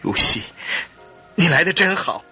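An emotional, bright-voiced husband. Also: male voice, fairly high pitch, big pitch swings, unhurried, slightly rough, faint music behind, full character voice. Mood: tender, moved. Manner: theatrical, exclamatory. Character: emotional English husband, astonished then tender stepfather. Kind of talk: classic Mandarin radio drama acting.

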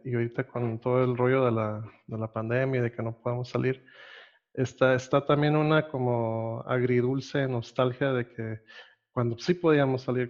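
A man's voice: medium pitch, a little mellow, muffled recording. A Costa Rican-born man, hosting a casual interview, laid-back and rambling, laughing often. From Costa Rica. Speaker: podcast host.

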